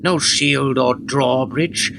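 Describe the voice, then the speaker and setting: A man acts out a burdened medieval lord in a radio play. His voice is gravelly and breathy, often near a whisper, and he drags out solemn, archaic lines very slowly. Loud noise runs through the recording. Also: medium pitch, steady tone. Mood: grave, fearful. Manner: solemn, halting, drawn-out delivery.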